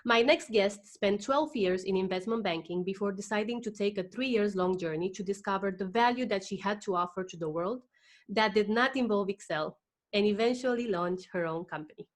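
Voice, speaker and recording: A woman's voice: mid-range voice, moderate pace. A woman hosting a podcast interview, introducing her guest enthusiastically and talking with frequent fillers. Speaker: podcast host.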